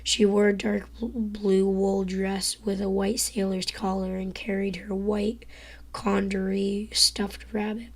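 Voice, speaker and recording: A teenage girl with a hoarse, worn-out voice reading a novel aloud live, whispering and stumbling over words as she goes. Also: mid-range voice, level tone, unhurried. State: hoarse, lost voice.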